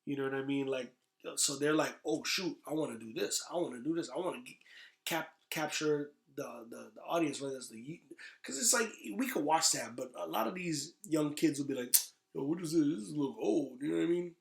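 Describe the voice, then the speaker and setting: The echo of the room surrounds a man with a somewhat high voice. He chats casually as a podcast guest, rambling and slangy, and leans emphatically on his points. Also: animated, fairly quick, vocal fry. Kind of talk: casual chat. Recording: echoey room.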